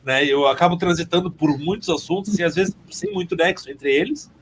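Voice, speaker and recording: A man's voice: fairly high pitch, brisk, slight background noise. A man chatting casually as an interview guest, joking and ironic, rambling from one phrase to the next. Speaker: podcast guest, theatre sound designer and composer.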